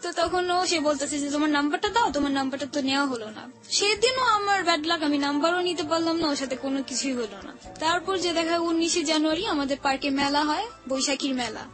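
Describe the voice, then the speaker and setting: High-voiced young Dhaka woman storytelling over music. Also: fast, few pauses, a bit bright. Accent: Dhaka Bangladeshi. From Old Dhaka, Bangladesh. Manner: colloquial, acts out remembered conversations. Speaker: guest telling her life story. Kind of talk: informal personal storytelling with quoted dialogue. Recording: music playing under the voice.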